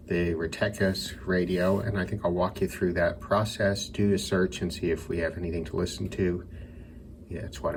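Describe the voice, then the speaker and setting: A man giving a casual hands-on demonstration, unhurried and thinking aloud as he goes. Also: low voice, vocal fry, noisy background. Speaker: radio hobbyist presenter. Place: indoors by a window.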